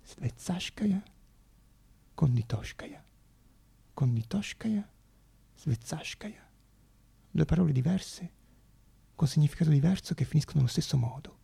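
A man playing an excitable, obsessive young student, muttering to himself and bursting into exclamations in a theatrical monologue. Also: fairly high pitch, vocal fry, husky, no room sound, full character voice. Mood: pondering, curious.

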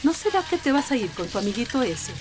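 A woman's voice: on the high side, sing-song, fast talker. A woman playing a stern, suspicious mother in a radio soap, scolding and insistent. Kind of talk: radio soap opera acting.

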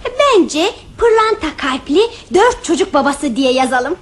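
A woman's voice: high-pitched, very animated, slow pace, a little hiss or hum, heavily acted. A woman in a radio play voicing a stubborn little girl who is the youngest of several siblings.

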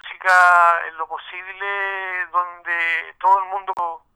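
A man's voice: high voice, level tone, slow, bright, forceful tone, clear, resonant tone, low-quality recording. A middle-aged man with a Chilean accent, a musician answering casually in a radio interview, often searching for his words. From Santiago, Chile.

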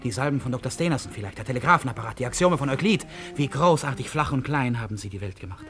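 A man plays a bitter, despairing man talking to himself in a radio play. His mid-pitched voice is theatrical and brooding, turning self-mockery on himself, with music clearly behind it. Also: animated, fast, a bit bright, lightly acted. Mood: bitter, mocking. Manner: theatrical, brooding, swinging from murmur to outburst. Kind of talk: German radio play acting, inner monologue.

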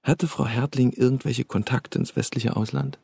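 Gravelly, husky East German secret police officer. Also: male voice, mid-range voice, fairly even intonation, normal speed, mellow, dry recording. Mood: officious, insinuating. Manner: insinuating, bureaucratic, with knowing chuckles. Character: East German state security officer, oily, chummy, quietly menacing. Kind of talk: naturalistic German radio drama acting.

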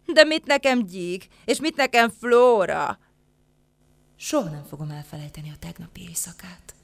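A woman's voice: a little high, big pitch swings, smooth, no echo. An actress playing a jilted, jealous, lovesick woman in a declamatory theatrical drama. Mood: wounded, wistful. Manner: declamatory, swooning, swinging from hush to outburst. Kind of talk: theatrical literary radio drama.

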